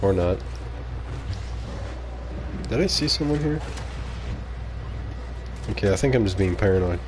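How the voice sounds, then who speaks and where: A man's voice: on the low side, lively intonation, a little slow, noisy background. A man whose first language is French, streaming live and talking casually while he plays, reacting aloud and muttering asides to viewers. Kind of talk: live gameplay commentary.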